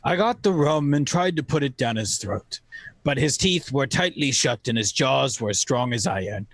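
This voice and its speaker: A man's voice: mid-range voice, lively intonation, moderate pace, no echo. A man narrating a radio play as a storyteller, in a dramatic, scripted style. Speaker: narrator actor.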